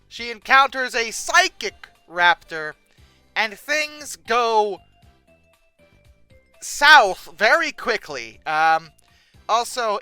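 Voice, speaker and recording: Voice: mid-range voice, sharp tone, clean tone, dry recording. A video host giving casual, humorous commentary in a sarcastic, exasperated manner with dramatic emphasis.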